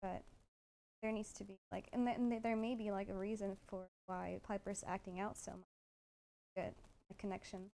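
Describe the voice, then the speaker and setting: A woman co-hosting a casual livestream chat, commenting appreciatively in a halting way. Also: medium pitch, flat delivery, gravelly, warm tone, poor audio.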